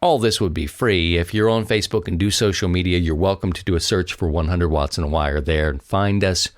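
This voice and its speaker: A man with a low, deep voice talks on his own as a podcast host. His delivery is casual and conversational, rambling along as he speaks straight to his listeners. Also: a little fast, no echo, studio quality. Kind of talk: casual solo podcast talk.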